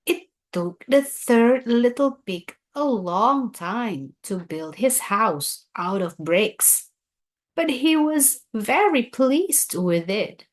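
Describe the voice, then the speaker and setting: Very slow, expressive woman storyteller. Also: a little high. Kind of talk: scripted children's story read-aloud.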